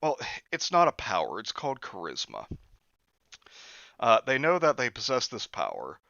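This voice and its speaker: A man reads from a book on a live stream, mocking it with dry sarcastic comments between passages. His voice is bright and crisp in a dry, close space. The audio is a little dull and lo-fi. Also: mid-range voice, huge pitch swings, normal speed, no room sound. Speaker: host reading a book aloud.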